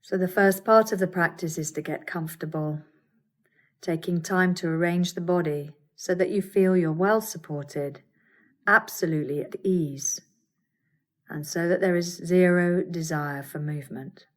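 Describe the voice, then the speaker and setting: Female yoga nidra guide, lowish register, soothing and measured instruction for a meditation practice. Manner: calm, soothing, deliberate instruction with pauses. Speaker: yoga nidra teacher guiding a relaxation practice.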